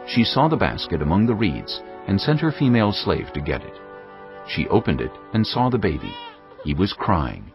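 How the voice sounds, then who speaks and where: A man narrating scripture in a measured, solemn way. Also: deep voice, big pitch swings. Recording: dull studio track under music.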